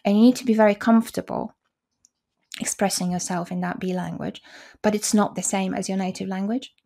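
A woman's voice: medium pitch, steady tone, fairly quick, creaky, mellow. Guest interpreter, polish native speaker in her forties, explains things carefully and restarts a lot, video call mic. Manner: explanatory, frequent restarts and fillers, occasional laughs. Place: small room indoors. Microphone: video call, desk mic.

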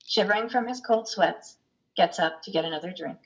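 A woman's voice: mid-range voice, fairly quick. A middle-aged woman reading stage directions as narrator of a play, recorded over a video call with muffled sound. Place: room at home, indoors.

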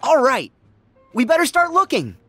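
A man's voice: very high-pitched, huge pitch swings, normal speed, a bit bright, dry recording, faint music behind, heavily acted. An actor playing an upbeat teenage boy hero in a video game, earnest and youthful. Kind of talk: animated video game dialogue.

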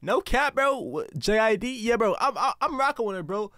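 A young man streaming from his home setup, his voice high and bouncing all over the place. He rambles excitedly, packing "bro" into nearly every phrase, close on a dry-sounding mic with a song playing faintly behind him. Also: moderate pace, no echo. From US East Coast. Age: young adult. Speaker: music reaction streamer. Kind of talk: casual reaction commentary. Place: home streaming room, indoors. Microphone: headset with desk mic close up.

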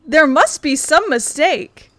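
A woman's voice: high voice, animated, slow pace, fairly bright tone, slight background noise. A woman doing casual playthrough commentary on a video game as a content creator. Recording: faint game music behind.

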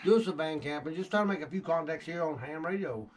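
A middle-aged man with a slightly high voice makes a casual radio contact. He talks in short, repeated statements. He is recorded outdoors on a porch by a camera a few feet away, with faint noise behind. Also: fast talker. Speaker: amateur radio operator. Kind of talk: casual ham radio conversation. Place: outdoors on a covered cabin porch.

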